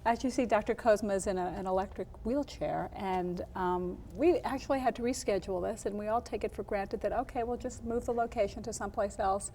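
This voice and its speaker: Lively woman hosting a TV interview. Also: mid-range voice, a little hiss or hum. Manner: lively, quick to laugh, restarts sentences. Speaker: TV interview show host. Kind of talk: televised interview. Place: campus film set, indoors.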